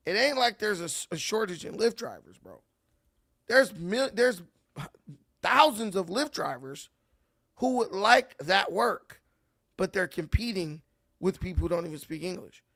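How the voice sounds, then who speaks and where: A man's voice: high-pitched, a bit bright, no echo, clean studio sound. A man hosting casual reaction commentary, ranting and repeating words as he builds his point. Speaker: commentary host.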